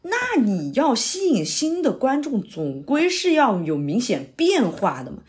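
A woman's voice: fairly high pitch, wildly swooping pitch, a little slow, a little mellow. A woman playing an earnest male playwright in an audio drama, talking persuasively and explaining his ideas at length. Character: earnest middle-aged male playwright, eager pitcher. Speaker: playwright character.

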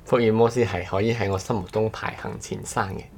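A man with a very low voice and a Cantonese accent co-hosts a casual podcast. He talks in short, halting pieces, often circling back to rephrase. The delivery is conversational and unscripted. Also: fairly even intonation, vocal fry, slight background noise. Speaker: podcast co-host. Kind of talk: casual chat.

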